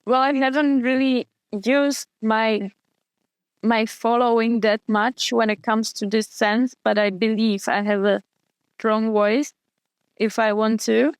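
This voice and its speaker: A woman's voice: a little high, flat delivery, slow pace, clean tone, dry recording. A young woman in an interview, describing her sport and career in a hesitant way, with frequent restarts and fillers. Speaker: guest, wing foil and kiteboard athlete. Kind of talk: casual interview answers.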